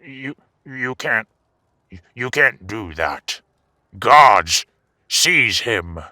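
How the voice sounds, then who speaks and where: A man's voice: mid-range voice, expressive, drawn-out words, pushed and bright, no echo, clean studio sound, full character voice. A man playing an old, indignant and fearful king in a fantasy audio drama, faltering as he clings to his authority.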